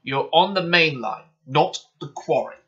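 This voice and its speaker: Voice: mid-range voice, unhurried, sharp tone, highs cut off. Storyteller doing a blustering, furious big steam engine. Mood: stern. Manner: stern, blustering, spluttering. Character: big, pompous, bad-tempered male express engine.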